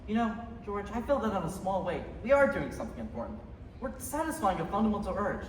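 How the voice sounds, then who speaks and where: A man's voice: high voice, sing-song, fast. A young male actor playing a kindly, weary older small-town father in a stage radio play. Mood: earnest, resigned. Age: teenager. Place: high school theater stage. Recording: echoey theater hall, distant mic, faint noise.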